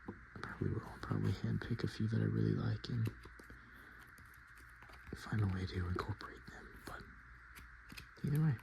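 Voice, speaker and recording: Whispering low-voiced man, ASMR host. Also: fairly even intonation, creaky, poor audio. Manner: murmured, unhurried, casual and self-deprecating.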